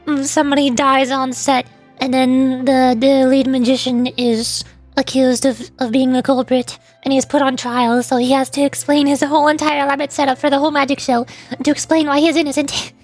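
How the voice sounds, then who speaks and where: A woman's voice: sits high, little pitch movement, brisk, darkish tone, faint music behind. A woman chatting casually on a live game stream as a virtual YouTuber, rambling along as she talks. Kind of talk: casual live stream chat while reading game dialogue.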